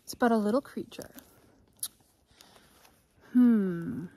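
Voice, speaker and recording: A woman from Canada reading a children's picture book aloud as story-time host, expressively, with dramatic pauses and emphasis. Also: mid-range voice, mellow.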